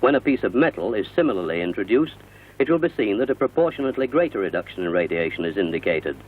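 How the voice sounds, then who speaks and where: A British man narrates in the formal style of a 1960 industrial film, explaining each point in measured phrases. His voice is slightly rough and husky at a middle pitch. The sound is thin and narrow, like an old film soundtrack. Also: sing-song. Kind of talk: 1960 newsreel scripted narration. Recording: muffled, narrow-band vintage film soundtrack.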